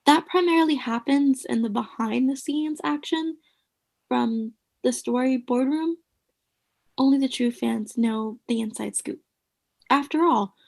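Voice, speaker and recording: An actress playing a bossy, ominous sitcom roommate with theatrical, emphatic delivery in a comedic radio play. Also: female voice, fairly high pitch, gravelly, a little mellow, full character voice.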